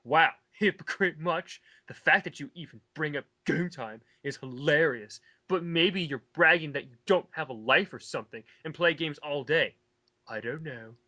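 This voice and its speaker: A man's voice: a little high, normal speed, sharp tone. A man giving casual, mocking commentary in a sarcastic, argumentative and exasperated way. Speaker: commentator reading and rebutting online comments.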